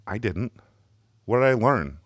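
A man co-hosting a comedy podcast, with a stuffed-up nose and a deadpan, mock-apologetic way of talking. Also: on the low side, measured pace, dry recording, studio quality. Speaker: podcast co-host.

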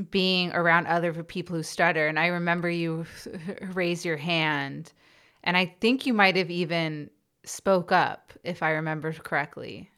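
A woman hosts a podcast conversation, stuttering now and then on the first sounds of words. Her voice sits low for a woman and comes across smooth and clear. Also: a bit bright. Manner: stutters, with repeated sounds and blocks. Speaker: podcast host. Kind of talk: podcast interview.

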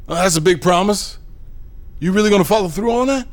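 Uneasy, stammering office boss. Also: male voice, high-pitched, a little fast. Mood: wary, hesitant. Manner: hesitant, stammering, informal. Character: uneasy, gullible blackmailed married office boss. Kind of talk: audio drama acting.

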